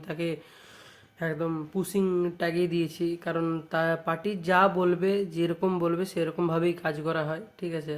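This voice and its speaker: A man with a West Bengali accent casually showing off products as a shop owner vlogging, often adding little checking tag phrases. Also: fairly high pitch. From West Bengal, India. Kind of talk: casual product showcase vlog.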